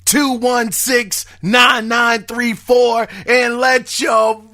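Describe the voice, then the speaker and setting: A hoarse man hosting a podcast, shouting often. His voice sits very high for a man, bright and cutting. He speaks slowly and draws his words out. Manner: excitable, shouting. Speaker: podcast host. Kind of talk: casual podcast monologue.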